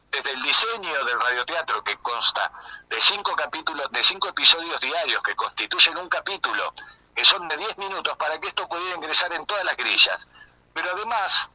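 An Argentine man is being interviewed on the radio over what sounds like a phone line. His voice is very bright and sharp, at a medium pitch. He explains things at length, earnest and enthusiastic, often rephrasing and adding asides. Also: dry recording. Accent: Argentine Spanish. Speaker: interview guest, community radio communicator and project coordinator.

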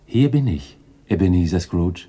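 Young christmas spirit, very mellow dark low voice, kind and polite, old radio drama. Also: male voice, animated, normal speed, slightly rough. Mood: friendly, reassuring. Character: friendly young festive male spirit of Christmas. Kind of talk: 1960s German radio play acting.

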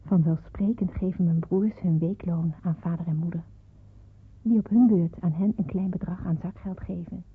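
A woman's voice: fairly low pitch, fairly even intonation, normal speed, husky. Earnest schoolgirl narrator, thin phone-like sound. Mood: earnest, content. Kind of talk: scripted first-person narration in a radio drama. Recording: thin phone-like radio drama recording.

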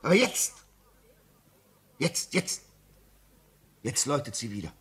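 An actor playing a tormented, fearful railway signalman in a radio play, speaking in an agitated, halting way. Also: medium pitch, sing-song, fairly bright tone, a little hiss or hum. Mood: agitated insistence. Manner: agitated, halting, swinging from whispers to desperate outbursts. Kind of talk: German radio play acting.

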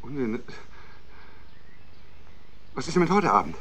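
A man's voice: medium pitch, sing-song, measured pace, fairly bright tone. An actor playing an irritable, pressing husband who schemes against his wife, in a naturalistic German radio drama. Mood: irritated, pleading.

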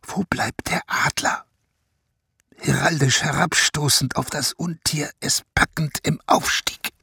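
A man's voice: fairly high pitch, fairly even intonation, slow, creaky, sharp tone, husky, heavily acted. An actor playing a gout-plagued man who laments solemnly in lofty verse in a radio play. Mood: anguished, lofty. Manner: solemn, declamatory. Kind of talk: poetic radio drama monologue. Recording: studio radio drama with music. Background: prominent music with strings.

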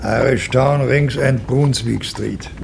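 Excitable, creaky-voiced carriage passenger. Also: male voice, mid-range voice, slow. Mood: brisk. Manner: excitable, calling out, animated. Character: lively, excitable male mourner riding in a carriage. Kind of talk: 1960s German radio play acting. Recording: faintly noisy old radio recording.

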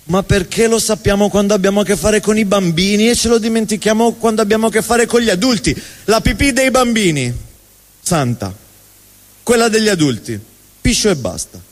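A man performing a theatrical spoken monologue on stage, with emphatic, rhetorical delivery and deliberate repetition. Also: high voice. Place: live stage in a reverberant venue. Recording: reverberant hall, live stage sound.

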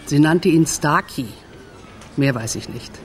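A woman's voice: low voice, a little slow, slight background noise. An actress playing a wistful, loyal middle-aged woman in a German radio crime drama, talking confidingly and a little hesitantly. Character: wistful, loyal woman missing her old friend.

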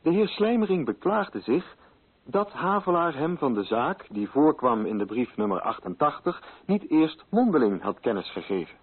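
Male narrator for radio drama: scripted literary delivery, animated pitch, slightly rough husky tone, narrow tinny sound. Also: moderate pace. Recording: thin, phone-like old radio recording.

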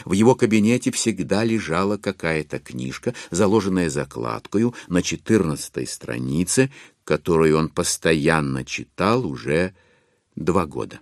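Wry literary narrator, lowish creaky voice, ironic deliberate phrasing, lively intonation. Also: male voice, no echo, clean studio sound. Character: wry author-narrator confiding in the listener. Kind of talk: theatrical literary narration in an audio drama.

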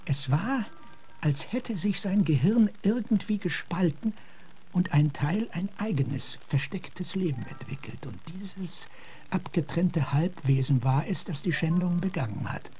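An actor playing an earnest, philosophical gentleman officer in a German radio drama, speaking in a measured, reflective way. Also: sits high, animated, a little hiss or hum. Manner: measured, reflective, sometimes hushed.

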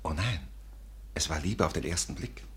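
An actor in a 1950s German radio play playing a suave, flattering gentleman with an effusive, courteous manner. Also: low voice, moderate pace, lightly acted. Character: suave, smooth-talking, flattering gentleman suitor.

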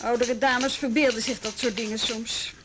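An actress playing a seasoned, thoughtful juvenile police officer in a frank, naturalistic radio drama style. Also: female voice, fairly high pitch, steady tone, normal speed, background noise, close to an everyday voice. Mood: concerned, thoughtful. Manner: frank, thoughtful, matter-of-fact.

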